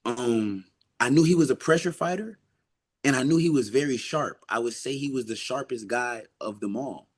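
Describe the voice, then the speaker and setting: A man speaks as an amateur athlete in a casual, reflective interview. His voice sits at a medium pitch, and he is earnest and emphatic, often repeating a phrase to stress it. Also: moderate pace. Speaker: amateur boxer being interviewed.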